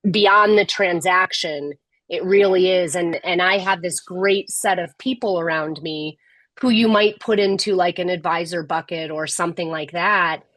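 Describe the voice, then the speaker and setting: A middle-aged woman speaking as a podcast guest, candid and measured, often restarting her sentences. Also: fairly low pitch, fairly bright tone, no echo. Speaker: podcast guest, tech executive. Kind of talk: casual interview conversation.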